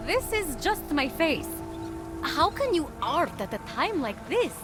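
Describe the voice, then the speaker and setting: Young female warrior for a video game: eager and impatient, very animated, unhurried pace. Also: high voice, music in the background, full character voice. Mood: exasperated. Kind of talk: video game cutscene acting.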